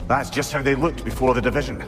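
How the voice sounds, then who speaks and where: An actor playing a knowing, wry companion in a Norse fantasy video game, with a matter-of-fact way of talking. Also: male voice, medium pitch, fairly even intonation, quick pace, music in the background, close to an everyday voice. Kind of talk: dramatic video game dialogue.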